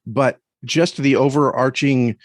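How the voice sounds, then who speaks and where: A man with a mid-range voice speaks as a podcast guest in a dry recording. He is earnest and reflective, feeling his way haltingly through casual conversation. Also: mid-range voice, fairly even intonation, no room sound. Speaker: podcast guest and story critic. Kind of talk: casual interview talk.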